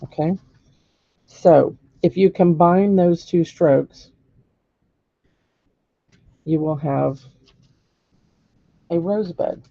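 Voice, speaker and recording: A hoarse, gravelly woman walks viewers through brush strokes in a patient, step-by-step way. Her voice is dark and mellow, recorded dry and a little dull, as on a live stream. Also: on the low side, drawn-out words, long pauses, no room sound. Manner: patient step-by-step instruction with frequent "okay?" checks. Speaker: painting teacher. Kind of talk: live-streamed painting demonstration.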